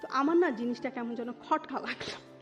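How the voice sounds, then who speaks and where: A woman's voice: high-pitched, a little fast. A woman in her thirties with a Dhaka Bangladeshi accent tells her personal life story as an interview guest, dropping English words into her speech. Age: 30s. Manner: mixes English words into Bengali. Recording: dull muffled sound with music underneath. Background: music.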